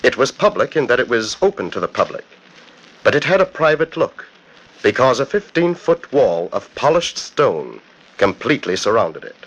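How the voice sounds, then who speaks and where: Animated old-time radio mystery narrator, male. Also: mid-range voice, highs cut off. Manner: theatrical, deliberate storytelling. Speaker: narrator, host. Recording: old 1940s radio broadcast.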